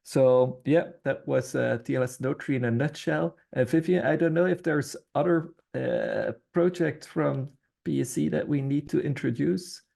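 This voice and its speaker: A man's voice: on the high side, normal speed, creaky, warm tone. A man from Belgium, a native Dutch speaker, informally introducing his team's project. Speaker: project team member presenting.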